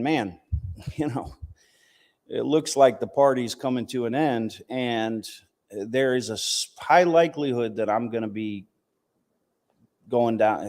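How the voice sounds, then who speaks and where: Male American speaker, medium pitch, conversational Islamic teacher giving a personal talk, long hall reverb. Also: a little mellow. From United States, Southern family from West Virginia, partly raised in Palestine. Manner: conversational, punctuated with "you know" and "right?" checks. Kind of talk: informal religious talk with personal testimony.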